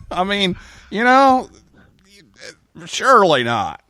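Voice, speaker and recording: A man's voice: extremely high pitch, huge pitch swings, very slow, sharp tone, dry recording. A man hosting a live talk show, interviewing guests in a conversational way. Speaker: host.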